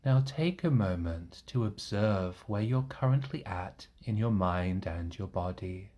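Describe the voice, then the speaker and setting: A man leading a guided meditation in a gentle, reassuring manner, leaving long pauses between short phrases. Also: lowish voice, animated. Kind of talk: guided meditation, soothing instruction.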